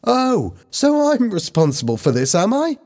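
A man's voice: mid-range voice, wildly swooping pitch, a little fast, dark tone, pitched above the performer's own voice. A man voicing an exasperated, sarcastic teenage girl in a story, challenging and exclaiming.

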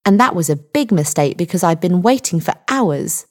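A woman's voice: on the low side, expressive, normal speed, natural voice. A woman voicing an indignant sixteen-year-old girl in a story, firm, impatient and emphatic. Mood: angry. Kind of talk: audiobook character dialogue.

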